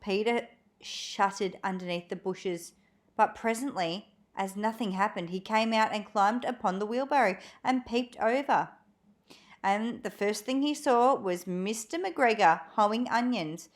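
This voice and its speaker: A woman reading a storybook aloud for children in an expressive, animated way. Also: mid-range voice, a little slow. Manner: animated, acts out dramatic moments for young listeners.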